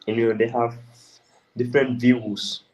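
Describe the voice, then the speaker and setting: A young man from the Gambia, answering interview questions in a hesitant, halting way with many restarts. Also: medium pitch, level tone, a little mellow, low-quality recording. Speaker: interview guest.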